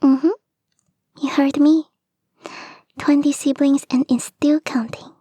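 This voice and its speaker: A woman voicing a playful, devoted kitsune fox girl in a soft, intimate, whispery ASMR roleplay, teasing and breathy, close to the mic. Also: high voice, level tone, slow pace, no echo. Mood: fond, explaining. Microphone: close microphone, dry.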